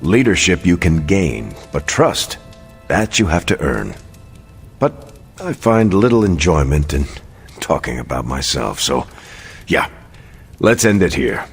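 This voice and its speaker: A man playing a modest veteran soldier superhero in a video game, speaking in a measured, sincere, plain-spoken way with music behind him. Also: rumbling low, sing-song, husky, heavily acted. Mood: earnest, modest. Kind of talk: video game cutscene acting. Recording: background music under the voice.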